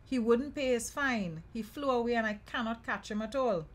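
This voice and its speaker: A woman's voice: fairly high pitch, moderate pace, pitched above the performer's own voice, close to an everyday voice. Woman voicing a wheedling trickster spider. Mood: pleading. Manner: pleading, coaxing. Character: wheedling, flattering male trickster spider from a folk tale.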